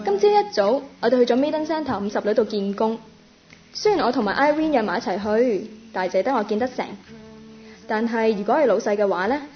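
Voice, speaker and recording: High-voiced chatty girlish student, played by a woman. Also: natural voice. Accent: Hong Kong Cantonese. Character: chatty, sleepy-headed young female university student. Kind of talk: Cantonese radio drama acting. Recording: dull lo-fi audio with music.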